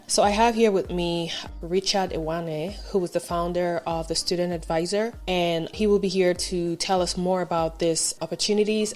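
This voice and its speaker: A woman with a fairly low voice hosts a podcast. She reads her introduction from a script, then moves into easy conversation with her guest. Soft music sits faintly under her voice. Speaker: podcast host. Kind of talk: podcast interview.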